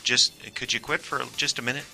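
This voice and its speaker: A man's voice: mid-range voice, steady tone, fairly quick, bright, forceful tone, faint music behind. A man playing a nervous, placating friend in an audio drama, hesitant and stammering but earnest. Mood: hesitant, insistent. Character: nervous, placating male friend withholding a secret. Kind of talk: naturalistic audio drama acting.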